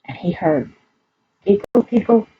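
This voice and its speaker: Lively Southern US woman storyteller, very animated pitch. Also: unhurried, narrow, tinny audio, faint music behind. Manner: chatty, folksy, asking the listeners questions. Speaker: library storyteller. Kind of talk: children's picture-book read-aloud with casual asides.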